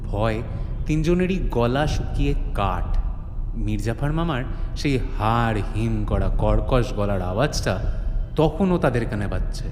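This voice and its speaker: A man narrating a scripted horror-comedy story in a theatrical, suspenseful style. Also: medium pitch. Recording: reverberant, faint ambient noise.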